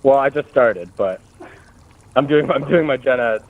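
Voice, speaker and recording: A man's voice: mid-range voice, normal speed, phone-quality sound. A man in his thirties from the US, calling in to an advice show, hesitant and stammering. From United States. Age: 30s. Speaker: caller. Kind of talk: phone call to an advice show.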